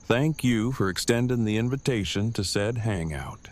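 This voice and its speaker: A man's voice: lowish voice, lively intonation, normal speed, natural voice. An actor playing an awkward, verbose man who talks in stiff, pedantic, formal phrases in an audio drama. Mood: stiff, polite.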